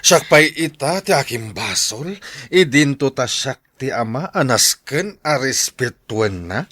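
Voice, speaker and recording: A man playing a domineering middle-aged father in an audio drama, scolding and confrontational, with a rough husky voice. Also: mid-range voice, animated, measured pace, a bit bright, dry recording, clean studio sound. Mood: furious, indignant.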